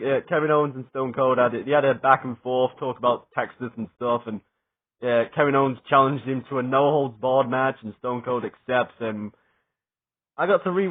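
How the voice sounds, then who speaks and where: Excited young man, tinny phone-like sound. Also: medium pitch. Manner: rambling and excited, swears, many fillers and restarts. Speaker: wrestling reviewer. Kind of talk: casual spoken review.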